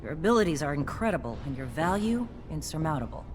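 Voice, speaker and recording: An actor playing a wary, stern army soldier in a video game, speaking in a measured, formal manner. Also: male voice, fairly high pitch, very animated. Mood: guarded, distrustful. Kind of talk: video game dialogue acting. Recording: clear background noise and ambience.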